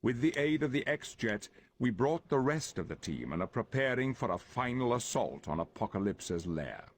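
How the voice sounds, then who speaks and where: A man playing a calm, authoritative professor leading a team of heroes in a video game, measured and formal. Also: medium pitch, a little hiss or hum. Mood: briefing. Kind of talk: video game cutscene acting.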